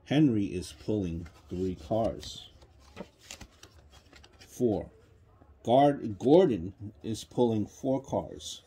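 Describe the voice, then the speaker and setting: A man's voice: fairly low pitch, expressive, extremely slow, long pauses, mellow, husky. A man reading a children's counting book aloud to a child, patiently prompting with questions. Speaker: parent reading a bedtime story to a child. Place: small child's bedroom, indoors.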